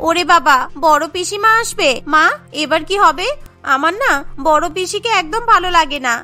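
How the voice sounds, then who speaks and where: A woman plays a whiny, resentful young daughter-in-law in a cartoon. Her voice is very high and sharp, fretting and complaining over a light music bed. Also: normal speed. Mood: anxious, whining. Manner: complaining, fretful. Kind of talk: cartoon family drama dialogue.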